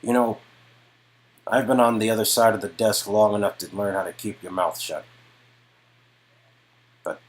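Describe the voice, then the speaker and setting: A man playing a weary, guarded detective with a low, raspy voice, halting and brooding as he confides in someone. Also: natural voice. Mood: pained, guarded.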